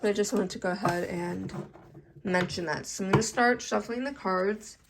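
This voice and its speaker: A woman's voice: medium pitch, normal speed, gravelly, noisy background. A young adult woman giving a casual tarot reading to camera, chatty and full of "okay?" check-ins, her voice a little congested from allergies. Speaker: tarot reader.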